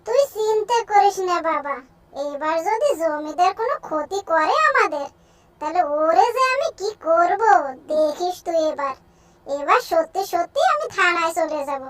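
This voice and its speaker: A woman's voice: very high voice, expressive, moderate pace. A woman voicing a doting, protective village mother in a cartoon, coaxing and fussing. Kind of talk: amateur cartoon voice acting.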